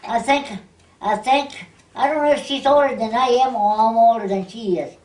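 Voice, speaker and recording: A woman's voice: a little high, normal speed, darkish tone. A ninety-year-old woman reminiscing casually and plainly, often repeating her phrases. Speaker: oral history interviewee. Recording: echoey room, distant microphone.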